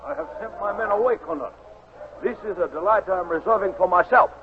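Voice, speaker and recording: An actor playing a menacing, coldly gloating Japanese military villain with formal, deliberate 1940s radio delivery. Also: male voice, high voice, animated, creaky. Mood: cold menace. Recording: faintly noisy, phone-like 1940s radio recording.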